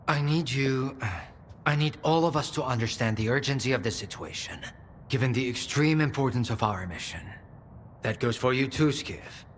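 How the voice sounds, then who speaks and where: A man's voice: medium pitch, a little hiss or hum, natural voice. Man acting an earnest, formal institute professor. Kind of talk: video game cutscene acting.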